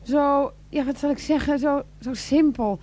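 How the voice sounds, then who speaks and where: A woman acting a sharp-tongued, mocking woman in a satirical radio play, with a sarcastic, bossy, theatrical delivery. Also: high-pitched, a little slow. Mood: dramatically moved.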